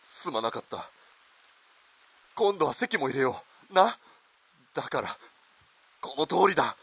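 A man's voice: fairly high pitch, big pitch swings, a little slow, vocal fry, a bit bright, breathy edge, exaggerated voice. An actor playing a wealthy, domineering man who pleads bluntly and ardently as a lover in a radio melodrama. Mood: remorseful, ardent. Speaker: actor in amateur radio drama. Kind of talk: melodramatic radio drama acting.

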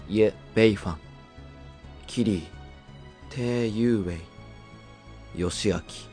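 A man giving a scripted ending narration, reading out the credits of a radio drama. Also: fairly low pitch.

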